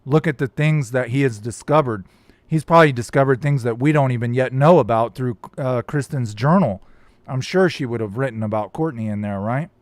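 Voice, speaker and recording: A man's voice: mid-range voice, brisk, dry recording. Man hosting a casual live chat. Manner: rambling, reads viewer comments aloud. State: voice starting to crack. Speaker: YouTube host reading live chat.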